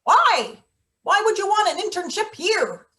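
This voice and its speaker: A woman's voice: very high voice, sing-song, unhurried, sharp tone, heavily acted. A woman playing a menacing, sardonic late middle-aged maintenance worker in a table read of a satirical play. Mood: bullying, bitter. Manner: sardonic, interrogating, theatrical threats.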